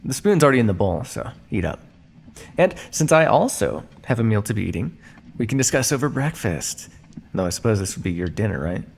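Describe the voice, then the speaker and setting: A man's voice: on the low side, very animated, a little fast, vocal fry, full character voice. A man playing a smug, teasing vampire scientist captor in an ASMR roleplay, speaking with a theatrical, drawling, mock-polite and sardonic manner. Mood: teasing, wry. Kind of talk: ASMR roleplay monologue addressed to listener.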